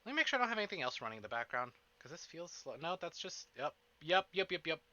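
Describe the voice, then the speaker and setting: A man with a very bright, forceful voice, pitched a little high. He hosts a livestream in a chatty, animated way, often interrupting himself and swearing playfully. The audio is slightly dull and lo-fi. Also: quick pace. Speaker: streamer, host of a visual novel stream. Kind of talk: casual livestream chat to viewers. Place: indoors at a desk.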